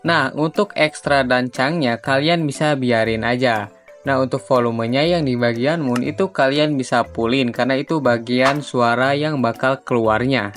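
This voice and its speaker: A man from Indonesia, giving a casual, informal step-by-step software tutorial. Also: medium pitch. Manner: informal, repetitive step-by-step instructing. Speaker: tutorial host. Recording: music behind the voice. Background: background music.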